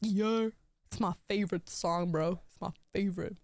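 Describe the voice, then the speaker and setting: A woman's voice: lowish voice, fairly even intonation, normal speed, darkish tone. A young woman streaming, chatting casually, swearing a lot and saying "like" and "bro" constantly. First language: German. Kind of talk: casual chat on a gaming stream.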